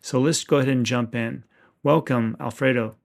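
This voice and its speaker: A man's voice: mid-range voice, steady tone, normal speed, gravelly. Earnest man hosting an interview. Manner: earnest, wordy questions with restarts. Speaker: podcast host.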